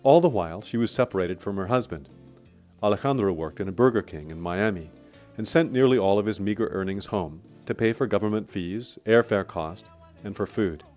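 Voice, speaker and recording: A man narrating a scripted radio documentary in a steady, clear storytelling manner. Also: on the low side, brisk, faint music behind.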